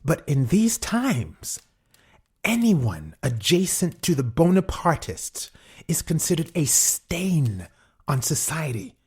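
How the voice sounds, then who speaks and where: Husky, slow-spoken inspector. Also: male voice, on the high side, very animated, darkish tone, heavily acted. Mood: agitated, persuasive. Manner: halting, measured, officious. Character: uneasy, formal, calculating young crown inspector interrogating a prisoner. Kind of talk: audio drama acting.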